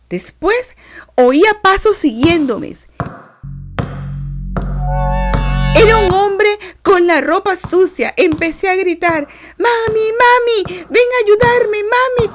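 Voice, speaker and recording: A woman reading a children's lesson as a six-year-old girl who tells her dream in a slow, expressive sing-song. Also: extremely high pitch, slight background noise, pitched above the performer's own voice, heavily acted. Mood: frightened.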